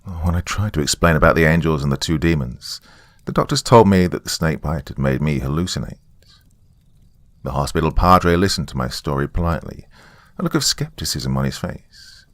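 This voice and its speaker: A man's voice: rumbling low, moderate pace, no room sound, clean studio sound. A man giving a dramatic first-person reading of a scripted story. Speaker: horror story narrator.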